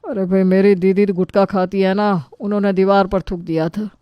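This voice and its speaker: A woman's voice: medium pitch, level tone, normal speed, clear, resonant tone, no echo, dull audio, close to an everyday voice. Woman acting an anxious family man, dry sound. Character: anxious middle-aged family man, timid before his sister.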